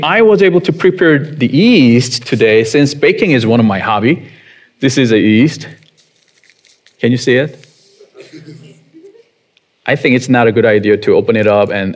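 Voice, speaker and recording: A man's voice: mid-range voice, expressive. A man in his thirties with a Korean accent, preaching a sermon in a chatty way and putting questions to the congregation. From South Korea. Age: 30s. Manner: unhurried and conversational, chuckling often, asking the congregation questions. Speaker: pastor.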